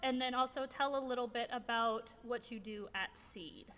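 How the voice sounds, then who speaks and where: A woman's voice: on the high side, moderate pace, a bit bright, big-room sound. A woman moderating a panel discussion, upbeat and full of praise. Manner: upbeat, effusive. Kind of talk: hosting an online panel.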